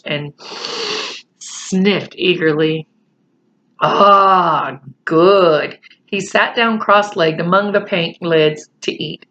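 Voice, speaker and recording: A woman reading a children's book aloud with chatty, expressive asides to young listeners. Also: fairly low pitch, a little hiss or hum. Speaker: storyteller, teacher. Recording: slightly muffled home recording.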